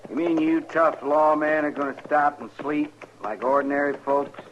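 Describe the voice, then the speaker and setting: An actor playing a coolly patient, taunting outlaw prisoner in 1950s radio drama style, with a rural American western accent. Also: male voice, fairly high pitch, fairly even intonation, natural voice. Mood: mocking. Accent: rural American West. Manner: mocking, unhurried needling. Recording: muffled, lightly noisy vintage radio recording.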